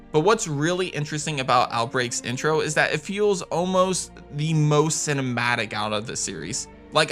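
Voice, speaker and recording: Male countdown host for gaming videos: forceful, bright delivery, enthusiastic, music underneath. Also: fairly high pitch. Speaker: video game channel host. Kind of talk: scripted countdown commentary. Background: background music.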